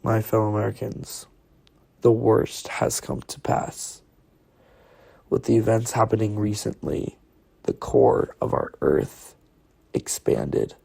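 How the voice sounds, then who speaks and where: A man playing a solemn, halting president giving an address to the nation. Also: fairly low pitch, flat delivery, creaky, mellow, husky, full character voice. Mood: grave, weary. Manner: slow, halting, with heavy pauses.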